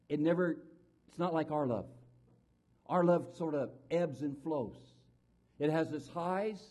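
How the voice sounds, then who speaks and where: A man preaching a sermon, earnest, telling a personal story, often falling soft and low for emphasis.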